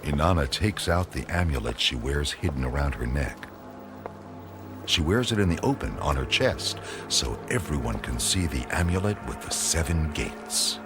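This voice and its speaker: A man's voice: rumbling low, sing-song, moderate pace, over music, exaggerated voice. A man narrating a radio play from a script in a portentous, atmospheric storytelling manner.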